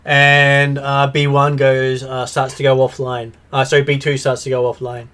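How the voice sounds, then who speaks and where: A man narrating as game master of an online tabletop game, talking in halting bursts with many fillers. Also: mid-range voice, monotone, a bit bright.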